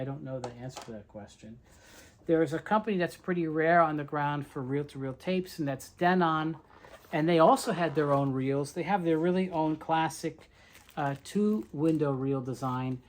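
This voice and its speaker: A man's voice: on the high side, moderate pace. Casual, hesitant man presenting a collection. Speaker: presenter and tape reel seller. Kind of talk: casual collector show-and-tell narration.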